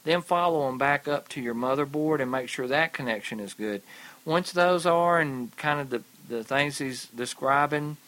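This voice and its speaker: Man, repair expert co-host, relaxed, plainspoken, practical tips, casual podcast. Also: a little high, normal speed. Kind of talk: casual technical advice.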